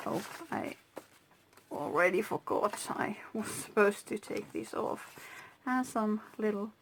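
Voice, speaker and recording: A Finnish-native woman hosting her own craft channel, talking through a handmade journal slowly, with pauses and soft whispered asides. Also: medium pitch, lively intonation, vocal fry, slight background noise. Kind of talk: casual crafting flip-through.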